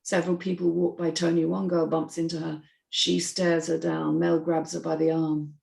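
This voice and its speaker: Female screenplay narrator, lowish gravelly voice, scene-by-scene script reading, roomy sound. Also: flat delivery, moderate pace, a little mellow.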